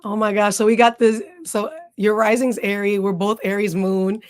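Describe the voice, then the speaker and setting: A woman hosting a podcast in a relaxed, casual way, filling her talk with "um" and "like". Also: medium pitch, moderate pace, dry recording. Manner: relaxed, many fillers like "um" and "like". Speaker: podcast host.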